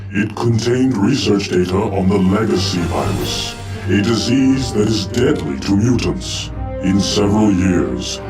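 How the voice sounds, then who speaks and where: An actor playing an all-knowing cosmic observer, narrating in a solemn, formal, portentous way for a game. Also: male voice, low-pitched. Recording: distant-sounding voice with music behind.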